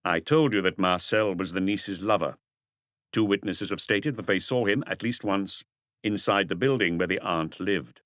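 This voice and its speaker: A man voicing a shrewd police detective in an audiobook, speaking in a quiet, casual, measured way. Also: low-pitched, no echo, clean studio sound. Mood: calm, probing. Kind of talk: audiobook character dialogue.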